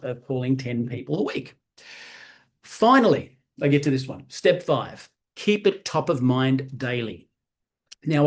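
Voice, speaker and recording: Animated Australian man in his fifties. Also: mid-range voice. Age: 50s. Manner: animated, peppers talk with "right?". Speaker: sales trainer. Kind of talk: motivational training talk.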